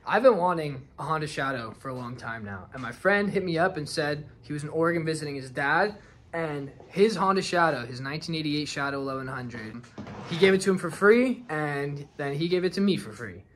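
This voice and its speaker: A young man in his twenties talks through a motorcycle repair in a relaxed, explanatory way. His voice sits a little high, and he films himself at arm's length in a home garage. A faint hiss of noise runs underneath. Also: brisk. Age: 20s. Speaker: motorcycle restoration vlogger. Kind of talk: casual talk to camera. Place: home garage and driveway, outdoors and indoors. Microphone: handheld camera at arm's length.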